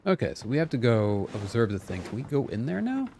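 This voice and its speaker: Animated man streaming a game. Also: mid-range voice, fairly quick, a little hiss or hum. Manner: playful, repeats phrases while thinking aloud. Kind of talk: casual live gameplay chat.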